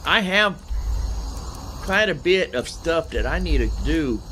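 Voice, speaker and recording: A man's voice: fairly high pitch, expressive, takes it slowly, pushed and bright. Rambling man narrating his gameplay, noisy background. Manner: rambling, thinking aloud, repeats himself. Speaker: gaming streamer. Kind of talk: casual gameplay commentary.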